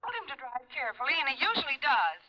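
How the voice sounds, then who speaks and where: Worried female caller, high voice, lo-fi telephone effect, fifties radio drama. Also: big pitch swings, quick pace, background noise. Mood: worried, anxious. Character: worried, anxious woman on the telephone. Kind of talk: 1950s radio drama acting. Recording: dull, lo-fi phone-filtered radio recording.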